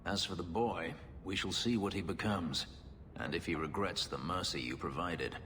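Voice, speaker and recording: A man's voice: low-pitched, normal speed, a bit bright, a little hiss or hum. A man playing a proud, brooding warrior companion in a video game, grave and formal in speech. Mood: grave, contrite. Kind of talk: video game cutscene acting. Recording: long reverb, music behind.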